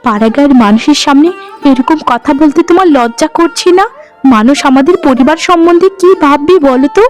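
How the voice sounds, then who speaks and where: A woman voicing a gentle, courteous young village bride in cartoon story dialogue, speaking earnestly. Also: high-pitched, fairly quick, faint music behind, lightly acted. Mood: reproachful.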